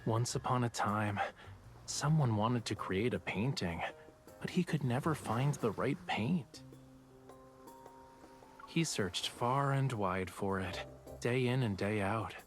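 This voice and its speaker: A man's voice: mid-range voice, slow pace, pauses often, natural voice. A man plays a playful young love interest from a romance game. His mid-range voice is coy and teasing, with a lazy drawl. Faint music sits underneath. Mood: storytelling.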